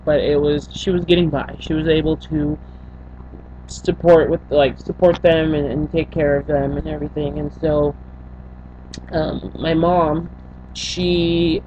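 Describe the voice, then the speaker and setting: A young woman from Senegal tells her life story to a webcam in a small bedroom. She talks in a halting way, with many fillers and restarts. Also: low voice, a little mellow. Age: young adult. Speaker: vlogger. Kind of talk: personal storytelling to camera. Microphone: built-in webcam mic. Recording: dull lo-fi webcam audio with faint hum.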